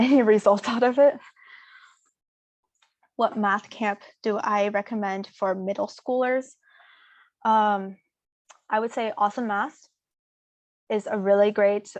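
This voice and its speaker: A young woman talks through audience questions in a loose, easygoing way, often pausing on "um" and "like." Her voice sits in a middle pitch. The sound is close and dry, with no room echo. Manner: relaxed and informal, many fillers like "um" and "like". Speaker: student speaker answering audience questions. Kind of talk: casual Q&A seminar.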